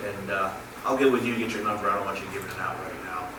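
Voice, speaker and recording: A man, a council official, answering a resident in brisk, reassuring replies at a public meeting. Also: deep voice. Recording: echoey chamber, far from mic, loud noise.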